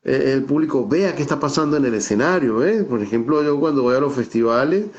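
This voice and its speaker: A man's voice: mid-range voice, lively intonation, brisk. A middle-aged man from the Venezuelan Andes, with a Táchira accent, speaking as a guest on an online call. He explains at length in a thoughtful way, restarts often and keeps adding "¿no?" as he talks. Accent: Venezuelan Andean (Táchira). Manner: thoughtful, many restarts and fillers, asks "¿no?". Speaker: theater director and guest speaker. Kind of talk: online talk, reflective conversational explanation. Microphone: video call. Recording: dull lo-fi call audio.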